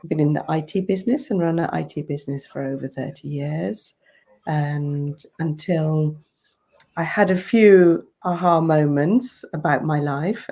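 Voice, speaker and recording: A woman's voice: low voice, mellow. A middle-aged woman from India, raised in England, introducing herself casually as a guest in a candid, hesitant way. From born in India, raised in England from age eight. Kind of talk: casual self-introduction in interview.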